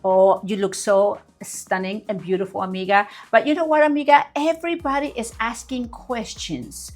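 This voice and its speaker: A woman's voice: medium pitch, steady tone, moderate pace, a little mellow. A woman hosting a podcast interview in a studio, gushing and effusive, heaping compliments on her guest. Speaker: podcast host.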